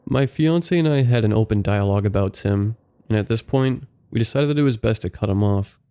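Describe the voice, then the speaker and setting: A man narrating submitted true scary stories in a steady, low-key reading. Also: a little fast, clean tone. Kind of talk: scripted horror story narration.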